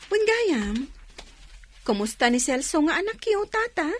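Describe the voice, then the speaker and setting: A woman's voice: high voice, sing-song, measured pace, a little hiss or hum. A woman playing a busy, caring village fish vendor and mother in an Ilocano radio drama. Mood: friendly, brisk.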